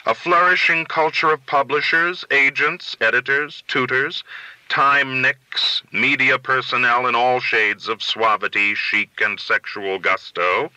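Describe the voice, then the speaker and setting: A man reading literary fiction aloud as an audiobook narrator, in a deliberate, theatrical way, phrase by phrase. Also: medium pitch, fairly even intonation, sharp tone, no room sound, rough recording. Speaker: audiobook reader.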